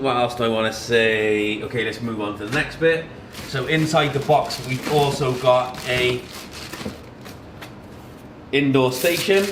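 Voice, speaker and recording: A man's voice: mid-range voice, a bit bright, a little hiss or hum. A man demonstrating a product in an informal, explanatory, matter-of-fact way.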